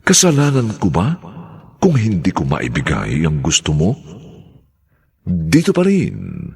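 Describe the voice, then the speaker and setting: A male radio drama announcer with a very deep, husky, gravelly voice. He reads scripted credits theatrically and slowly, swooping widely in pitch for emphasis. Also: wildly swooping pitch, a little mellow, dry recording.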